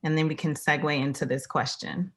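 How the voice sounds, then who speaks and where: A woman's voice: low-pitched, fairly even intonation, brisk. A woman hosting an interview, asking questions and affirming the answers in an encouraging way. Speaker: interviewer host.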